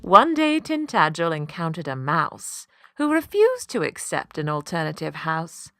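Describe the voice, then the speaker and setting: A woman narrating a scripted children's story, with an expressive, deliberate and rhythmic storytelling delivery. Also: low-pitched, dry recording, faint music behind. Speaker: voice actor, storyteller.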